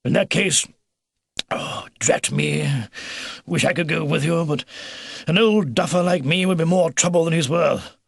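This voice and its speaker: Man voicing a blustery old grandfather beaver, gravelly. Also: fairly high pitch, a little slow, dry recording, voice pushed up, full character voice. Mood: brisk, rueful. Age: older. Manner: hearty old-fashioned British military bluster. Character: kindly old grandfather beaver, excitable and gruffly military.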